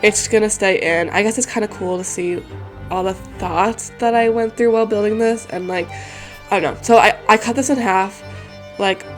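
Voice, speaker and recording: Young woman, stuffy congested voice, casual rambling chat, soft music underneath. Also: mid-range voice, steady tone, moderate pace. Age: young adult. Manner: rambling, many fillers and restarts. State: congested, recovering from a cold. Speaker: game building creator. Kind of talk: casual chat over a speed build.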